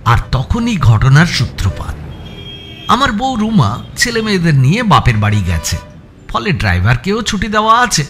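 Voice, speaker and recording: Highly animated male suspense narrator, Bengali accent. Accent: Bengali from West Bengal, India. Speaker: audio story narrator. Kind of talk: dramatic first-person suspense audiobook narration. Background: music.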